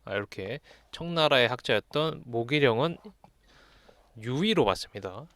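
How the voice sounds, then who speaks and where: A man lectures in a voice of average pitch. He reads passages aloud and then explains them in a measured, teacherly manner. The sound is dry, with very little room in it. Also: expressive, a bit bright, dry recording. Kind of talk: explanatory lesson, reading classical texts aloud and commenting.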